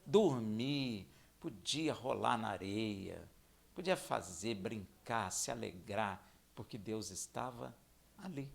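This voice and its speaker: A man from Minas Gerais with a Brazilian accent, preaching and teaching scripture in a conversational way, with rhetorical questions, dramatic emphasis and hushed asides. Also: fairly low pitch, animated, slow pace, gravelly. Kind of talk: expository sermon with scripture reading.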